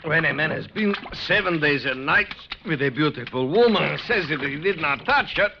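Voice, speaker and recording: An actor playing a worldly, commanding middle-aged patriarch in a vintage radio western, pompous and declamatory. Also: male voice, fairly high pitch, expressive, moderate pace. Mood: philosophical. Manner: declamatory, pompous, proverb-like delivery. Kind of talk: 1950s radio western acting. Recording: muffled, noisy vintage radio recording.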